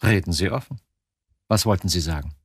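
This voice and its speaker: An actor in a radio drama playing a troubled, probing chief of criminal police who questions insistently. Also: male voice, low voice, lively intonation, fairly quick, breathy edge, no room sound, clean studio sound, heavily acted. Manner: interrogating, insistent short questions.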